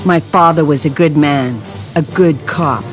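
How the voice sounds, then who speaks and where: Casting: tough female cop, earnest and firm, low register, music bed, crime audio drama. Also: a little slow. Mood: earnest, urgent. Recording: music behind the dialogue.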